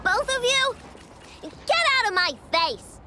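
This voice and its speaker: Hot-headed little girl, very high-pitched. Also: very animated, takes it slowly, bright, forceful tone, exaggerated voice. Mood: angry, demanding. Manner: indignant, blunt, exclaiming. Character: small hot-headed girl robot inventor, brash and stubborn. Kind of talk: video game voice acting. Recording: clear background noise, game ambience.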